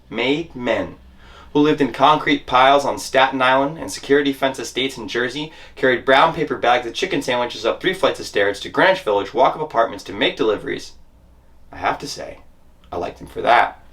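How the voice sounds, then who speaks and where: A man's voice: medium pitch, a bit bright. A man reading a memoir as a dramatic monologue, telling the story in scripted first person with theatrical emphasis.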